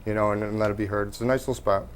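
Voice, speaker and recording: A man's voice: on the low side, fairly even intonation, racing, a little hiss or hum. A man from New York State talking casually as an interviewed musician. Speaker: band guitarist and lead singer being interviewed.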